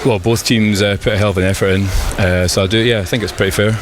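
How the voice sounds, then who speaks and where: A man speaking as a team captain in a post-match interview, hesitant and measured as he thinks aloud. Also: lowish voice, fairly even intonation, over music. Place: outdoors at a rugby ground. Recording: outdoor noise with traffic behind.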